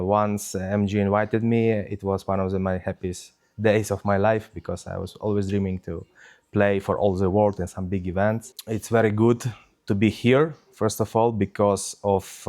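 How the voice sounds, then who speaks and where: A man's voice: on the low side, steady tone, a little slow, a little mellow. A man from Ukraine speaking English, answering interview questions with many hesitations and fillers. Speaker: DJ guest.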